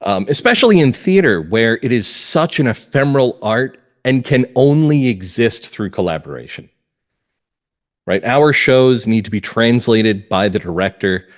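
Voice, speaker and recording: A man speaking as a writer being interviewed, reflective and earnest, setting out his views in deliberate, emphatic phrases. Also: mid-range voice, lively intonation. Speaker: playwright and audio drama writer, interview guest.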